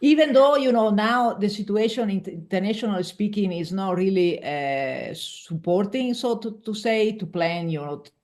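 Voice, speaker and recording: An Italian woman who speaks English as a second language, with a clear Italian accent and a voice of ordinary pitch for a woman. She talks with animated energy as a coaching guest, and she keeps restarting her words as she reaches for the right English phrase. Her speech is conversational, in the loose manner of a casual interview. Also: moderate pace, hardly any pauses. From Veneto, Italy.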